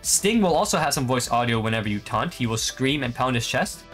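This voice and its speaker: Man in his twenties, from Canada, mid-pitched voice, gaming update narration, music behind. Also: animated, a little fast, a bit bright. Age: 20s. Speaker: gaming video creator and host. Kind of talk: vlog-style video game update presentation. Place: small bedroom, indoors.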